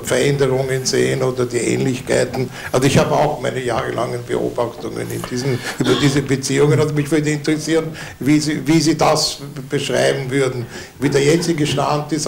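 A man posing a rambling question from an audience, halting and repeating his words. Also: medium pitch. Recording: clear background noise with clicks.

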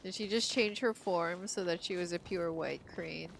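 A woman's voice: on the low side, moderate pace, gravelly, low-quality recording. A woman from the Philippines giving casual, enthusiastic reactions as a gaming YouTuber during a playthrough. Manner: enthusiastic, exclaiming. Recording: game music behind voice.

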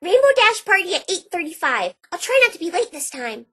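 A very high-pitched, childlike cartoon girl pony. She is bubbly and scatterbrained, swinging up and down in naive, chirpy delight. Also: big pitch swings, brisk, bright, forceful tone, full character voice. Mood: excited, chirpy.

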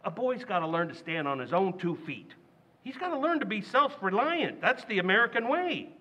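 A man acting a loud, indignant, stingy working-class father in an old-time radio comedy recreation. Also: sits high, sing-song, moderate pace, full character voice. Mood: blustering. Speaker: radio actor.

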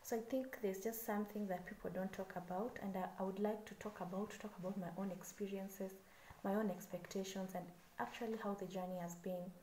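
Young Kenyan woman vlogger in her twenties, warm mellow tone, chatty and slightly nervous, recorded on camera in an echoey small room. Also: mid-range voice, fairly even intonation, brisk. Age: 20s. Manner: chatty, a little nervous, with many "so yeah" fillers. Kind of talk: casual self-introduction to camera. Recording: echoey room with faint background hiss.

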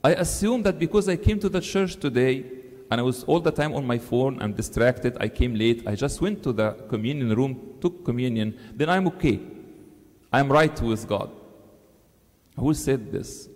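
A priest preaches from a lectern, earnest and emphatic, his pitch climbing on the words he stresses. His voice is dark and mellow. It rings out with a long reverb that fills the large church. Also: normal speed. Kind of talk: sermon. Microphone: stand mic on the lectern.

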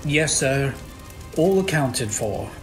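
An actor playing a deferential, shaken English manservant in an old-time radio drama, halting as he speaks. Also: mid-range voice, expressive, soft background music. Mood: shaken, respectful.

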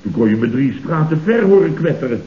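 An actor playing a panicky, self-important boss in a Dutch radio comedy, stammering and repeating words emphatically, with a Netherlands accent. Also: male voice, a little high, big pitch swings, brisk. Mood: indignant. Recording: dull, noisy, roomy old radio recording.

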